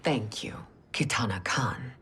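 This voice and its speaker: A woman's voice: rumbling low, a little slow, fairly bright tone, whispered. A woman playing a regal, ruthless empress and mother in a video game cutscene, speaking in a formal, stately, commanding way. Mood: stately, reverent. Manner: formal, stately, imperious delivery. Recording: music behind the voice.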